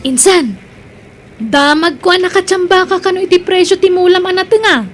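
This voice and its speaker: A woman's voice: very high voice, moderate pace, over music. A woman with an Ilocano accent playing a nosy, chatty cousin in a comedy drama. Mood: teasing. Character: nosy, chatty female cousin prying into family matters.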